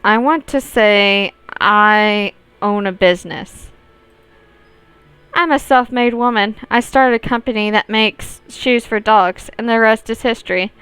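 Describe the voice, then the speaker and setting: Female let's player narrating a story game, exceptionally clear tone, very little room sound. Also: mid-range voice, measured pace, a bit bright, no room sound. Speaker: gaming video host reading aloud. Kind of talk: visual novel let's play, reading narration and dialogue aloud.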